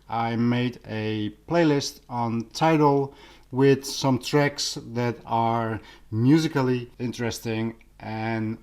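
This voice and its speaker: A man with a non-native English accent chatting casually in a vlog as an audio hobbyist, halting and pausing mid-thought in an unhurried way. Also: mid-range voice, darkish tone. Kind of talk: casual vlog product chat.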